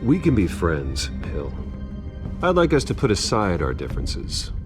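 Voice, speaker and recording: An actor playing a brooding, guarded billionaire vigilante in a video game, speaking in a terse, controlled way. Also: male voice, low voice, huge pitch swings, a little slow, over music, full character voice. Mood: smooth, persuasive. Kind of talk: dramatic video game acting.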